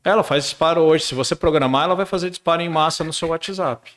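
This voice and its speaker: A man's voice: on the high side, fast, a bit bright. A middle-aged man, a guest on a business podcast, explaining in casual chat and often repeating words while he searches for the next one.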